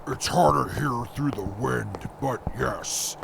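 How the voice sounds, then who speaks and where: A very gravelly wasteland scout acted by a man, stern and watchful, speaks slowly in careful formal phrases. Clear ambient noise sits behind him in this radio drama. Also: medium pitch. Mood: wary, grave. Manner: clipped, formal, cautious. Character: wary, stern male scout and navigator. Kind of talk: post-apocalyptic audio drama acting.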